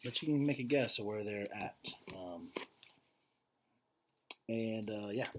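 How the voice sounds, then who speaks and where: A man reading a picture book aloud like a teacher to students, with measured phrasing and casual explanatory asides. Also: on the low side, a little hiss or hum.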